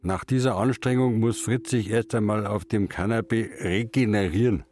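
A man's voice: fairly low pitch, moderate pace, a little mellow, natural voice. An actor playing a frail, grumpy old fisherman who speaks in terse, grumbling remarks. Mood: dry, curt.

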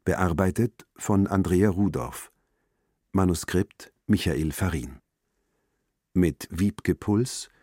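A male announcer delivers formal documentary titles soberly. His voice is low and dark, unhurried in pace, and recorded in a dry studio. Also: husky, no echo. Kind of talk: scripted documentary credits announcement.

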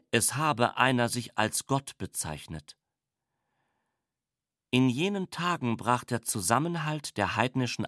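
A man narrating literary prose for a radio drama in a measured, formal way. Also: medium pitch, fairly bright tone, no room sound, studio quality.

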